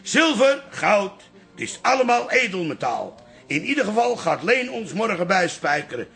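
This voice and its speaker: An actor playing a pompous older neighbourhood leader in a radio comedy drama, announcing things theatrically. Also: male voice, sits high, sing-song, normal speed, gravelly, pushed and bright, breathy edge, exaggerated voice. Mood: boastful. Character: bombastic older Dutch man, self-appointed neighbourhood leader.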